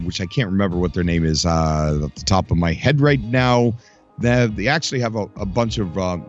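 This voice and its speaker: A man's voice: mid-range voice, dull audio. Chatty man hosting a live stream. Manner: chatty, rambling, upbeat, greets chat viewers by name. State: voice recovering from loss, skipping a beat. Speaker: host of a live gaming stream. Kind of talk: casual live-stream chat. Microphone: desk mic. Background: faint game music.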